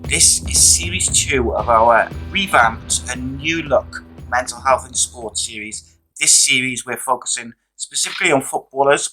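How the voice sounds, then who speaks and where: A man hosts a podcast in a relaxed, chatty way, scattering fillers as he talks. His voice is going hoarse. The tone is bright and forward. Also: mid-range voice, poor audio. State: losing his voice. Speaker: podcast host. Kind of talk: casual podcast chat.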